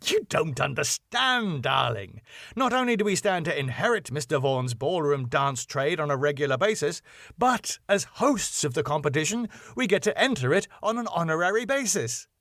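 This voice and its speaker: A man playing an excitable, theatrical club owner. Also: fairly high pitch, normal speed, vocal fry, no echo, clean studio sound, full character voice. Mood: excited, exasperated. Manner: flamboyant, exclamatory. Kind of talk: sitcom script reading.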